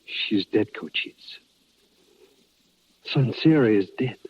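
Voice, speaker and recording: A man's voice: on the low side, animated, slow, slightly rough, heavily acted. An actor playing an earnest frontiersman and peacemaker in a 1950s radio drama, also narrating in the first person. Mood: anguished. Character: earnest frontiersman and peace-broker, grieving husband. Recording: muffled vintage radio broadcast with music.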